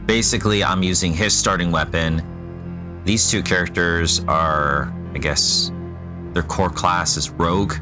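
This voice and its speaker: Very deep-voiced laid-back gamer man. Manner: easygoing, musing, wry asides. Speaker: gaming channel host. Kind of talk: casual let's play commentary.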